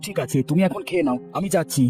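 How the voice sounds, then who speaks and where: Man acting a hard-up cartoon son, rapid speech, exasperated and pleading, music behind. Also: on the high side, mellow, natural voice. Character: poor, put-upon grown son, street snack seller. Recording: faint music bed behind.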